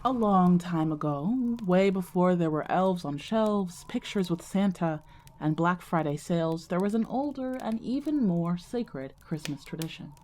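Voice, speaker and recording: A woman with a lowish, soft-edged, warm voice acts as a wry narrator of an audio drama, recalling her past. She speaks deliberately and conversationally, with dry asides, over clearly audible background noise. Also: expressive. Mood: measured storytelling. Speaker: narrator of a fiction podcast.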